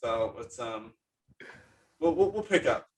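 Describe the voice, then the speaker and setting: A man's voice: medium pitch, expressive, slow pace, recorded from a distance, a little hiss or hum. Effusive man host with many fillers. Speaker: podcast host. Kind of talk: casual podcast chat.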